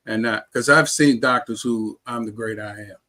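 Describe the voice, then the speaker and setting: A man's voice: mid-range voice, moderate pace, sharp tone. An American man telling personal stories casually as an interview guest, often repeating and restarting his phrases. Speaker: interview guest, heart transplant recipient.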